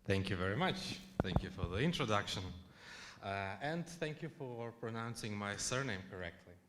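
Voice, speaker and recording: A man's voice: fairly low pitch, lively intonation, moderate pace, a bit bright, slight background noise. A man from Ukraine giving a conference talk, chatty and loose, full of fillers and restarts. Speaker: conference speaker. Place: conference hall.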